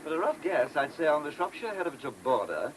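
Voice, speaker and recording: Prickly British sergeant, quick-talking, higher-pitched. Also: male voice, big pitch swings. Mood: dry, surly. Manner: grumbling, dry, curt. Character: sour, prickly male detective sergeant at the wheel. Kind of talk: mid-20th-century British radio play acting. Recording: noisy vintage radio drama recording.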